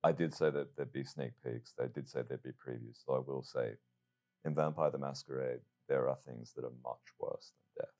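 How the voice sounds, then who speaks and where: Very deep-voiced man hosting, measured and theatrical. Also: fairly even intonation, warm tone. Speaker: host interviewer of a game reveal show.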